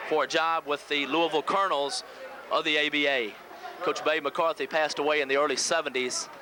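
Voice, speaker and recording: A man from the United States giving pregame sports commentary as a local radio play-by-play announcer. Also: fairly high pitch. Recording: noisy old VHS local radio audio.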